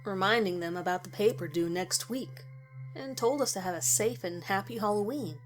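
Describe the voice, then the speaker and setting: A woman's voice: medium pitch, moderate pace, soft background music, poor audio. A woman reading a scripted first-person story aloud as its narrator.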